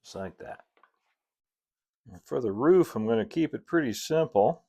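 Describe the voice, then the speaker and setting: A man's voice: fairly high pitch, very animated, moderate pace, gravelly, a little mellow. A middle-aged man narrating a hands-on hobby tutorial in a casual, folksy way with chatty asides. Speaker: model railroad builder and video host.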